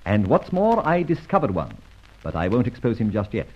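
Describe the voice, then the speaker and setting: Dull old radio recording of a breezy gentleman adventurer. His pitch leaps about with great animation as he teases and banters in flippant, theatrical style. The voice has a husky grain and plenty of mischief. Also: wildly swooping pitch, moderate pace, full character voice. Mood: clever, explaining. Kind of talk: mid-century radio drama acting.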